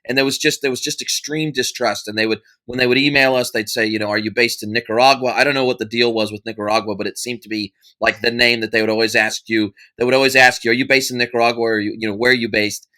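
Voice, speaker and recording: A man in his forties with an American accent and a bright, forceful voice talks at an easy middle pitch. He tells his story loosely, with restarts and fillers, as a guest in an interview. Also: fairly even intonation, fast talker, few pauses. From United States. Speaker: podcast guest, e-commerce consultant. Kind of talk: conversational interview answer.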